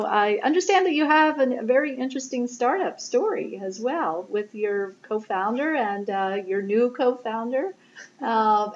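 A woman hosting an interview show, asking questions in a conversational way. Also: a little high. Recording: roomy background noise, dull lo-fi sound.

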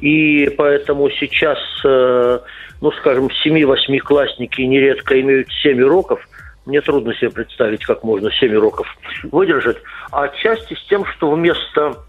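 Middle-aged guy explaining slowly w lots of uh pauses, tinny phone-like line. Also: medium pitch. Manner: measured, explanatory, many filler pauses. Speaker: guest lawmaker. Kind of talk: radio interview answers.

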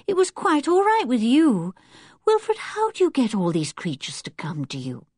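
A woman voicing an anxious, squeamish young girl in a storybook, fretful and expressive. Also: lowish voice, normal speed, breathy edge, no room sound, studio quality, voice pushed down, exaggerated voice. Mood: disappointed.

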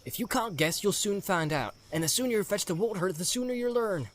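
A man's voice: high-pitched, expressive, brisk, a little hiss or hum, voice pushed up. A man voicing a loyal, plain-spoken hobbit servant with blunt, homely, rustic speech in a storybook reading. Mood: bossy, scolding.

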